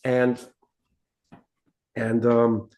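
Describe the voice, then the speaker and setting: A man in casual discussion, mid-pitched with a mellow, dark tone. He rambles and restarts often, checking in with "right?" and "okay?" to keep the listener with him. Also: monotone, extremely slow. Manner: rambling, many restarts, seeks agreement with tag questions. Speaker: podcast co-host.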